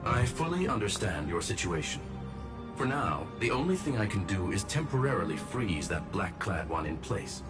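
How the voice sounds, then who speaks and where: A man's voice: fairly low pitch, big pitch swings, normal speed. A man voicing a composed, courtly robot genius for a video game, speaking formally and precisely. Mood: grave, measured. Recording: game music behind the voice.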